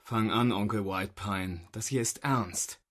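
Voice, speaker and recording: A man's voice: fairly low pitch, measured pace, very noisy, close to an everyday voice. An actor playing an impatient, commanding male agent leading a mission in a sci-fi radio drama, speaking curtly. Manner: curt, commanding.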